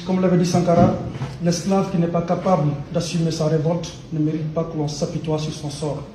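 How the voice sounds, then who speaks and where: High-voiced man speaking from far in an echoey hall. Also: little pitch movement, brisk, mellow, slight background noise. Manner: insistent, lecturing, stumbles and repeats words. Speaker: pan-African activist. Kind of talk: impassioned audience remarks in a debate. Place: conference hall. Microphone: far from the microphone.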